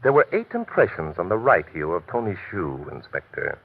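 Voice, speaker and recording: Low-voiced urbane gentleman sleuth. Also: sing-song, creaky, slightly rough, exaggerated voice. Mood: smugly explaining. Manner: measured, lightly amused, precise explaining. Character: urbane, self-assured amateur detective with mystery as hobby. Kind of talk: 1940s radio mystery acting. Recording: muffled, hissy old radio recording.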